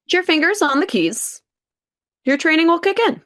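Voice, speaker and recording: A woman playing a dry, matter-of-fact senior office worker in a script table read, deadpan and instructing. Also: very high voice, normal speed. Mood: brisk, instructing.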